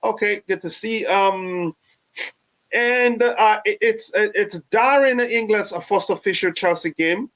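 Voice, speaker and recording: A man, hosting a live fan stream and reading viewer comments, halting with many fillers, his voice still touched by a cold. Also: sits high, fairly bright tone. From Nigeria. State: recovering from a cold. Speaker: fan channel host.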